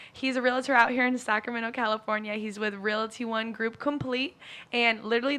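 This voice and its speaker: A woman's voice: fairly high pitch, bright, forceful tone. An American woman hosting a podcast in a casual, chatty interview style, enthusiastic and slangy. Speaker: podcast host.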